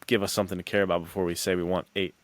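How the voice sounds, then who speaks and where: A man's voice: low-pitched, level tone, racing, no echo. A man hosting a podcast, chatting casually and giving commentary on films and books. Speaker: podcast host. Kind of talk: casual chat, film commentary.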